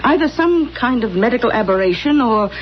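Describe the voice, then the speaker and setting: An actress playing an earnest, pompous middle-aged doctor, declaiming emphatically in a radio comedy-drama. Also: female voice, a little high, lively intonation, fairly quick, a little hiss or hum, natural voice. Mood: grave, earnest. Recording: dull old radio recording.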